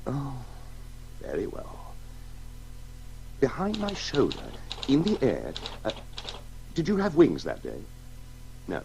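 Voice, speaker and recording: A man acting a brooding, halting writer in a radio play, narrating his own story with intensity. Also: mid-range voice, wildly swooping pitch, creaky, mellow, a little hiss or hum, heavily acted, poor audio. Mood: flustered. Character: brooding, wonder-struck male writer telling his story.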